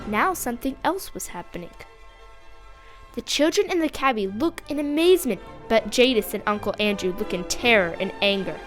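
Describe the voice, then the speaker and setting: A young female narrator reading a scripted fantasy story aloud with expressive storybook delivery. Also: fairly high pitch, normal speed, soft background music.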